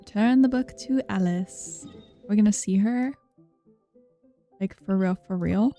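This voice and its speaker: A woman streaming a game, talking casually to herself and viewers. Her voice is mellow and medium-pitched, with unhurried, offhand asides. Faint game music plays behind. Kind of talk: casual reactions during a playthrough.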